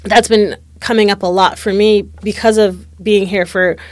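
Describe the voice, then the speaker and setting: A woman's voice: mid-range voice, fairly even intonation, moderate pace, no echo. A woman in her late twenties talking candidly and reflectively in a casual conversation, peppering her speech with "like". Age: late 20s. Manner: reflective, candid, heavy use of "like".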